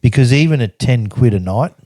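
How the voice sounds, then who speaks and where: A man's voice: mid-range voice, expressive, fairly quick, warm tone, no room sound. An older man reading from his old travel journal and telling the stories behind it in a casual, chatty way, laughing often. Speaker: podcast guest recounting travels.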